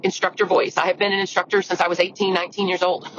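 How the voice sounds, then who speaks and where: A woman hosts her podcast alone in a deep, scratchy voice. She talks in a chatty, earnest way and keeps restarting sentences. The recording sounds dull and lo-fi, with steady noise clearly audible underneath. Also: fairly quick. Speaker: podcast host. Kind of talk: solo podcast monologue. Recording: lo-fi audio with clear background noise.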